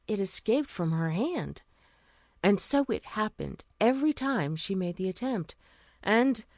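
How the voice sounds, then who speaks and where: A woman narrating a scripted fairy tale as a storyteller, expressive and deliberate. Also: mid-range voice, dry recording, clean studio sound.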